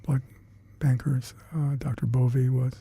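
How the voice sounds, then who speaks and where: An older man with Hungarian as his first language, recalling past work in an oral history interview, halting and reflective with many fillers and restarts. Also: medium pitch, level tone, dark tone, breathy edge, no echo. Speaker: interviewee, retired scientist.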